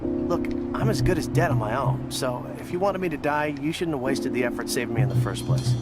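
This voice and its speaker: A man's voice: medium pitch, a little fast, over loud music, close to an everyday voice. An actor playing an eager, nervy young male informant in a video game, chatty and informal in manner. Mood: guarded.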